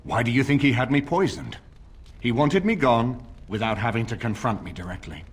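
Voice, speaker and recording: An actor playing a grave, middle-aged nobleman in a fantasy game, speaking formally and with measured authority. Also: mid-range voice, husky, lightly acted. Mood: stern, commanding. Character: grave older nobleman lord rallying allies against a usurper. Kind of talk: video game voice acting, dramatic dialogue.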